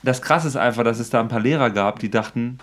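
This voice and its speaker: A man's voice: medium pitch, quick pace. Man telling anecdotes amid group chatter. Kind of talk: casual anecdotal chat.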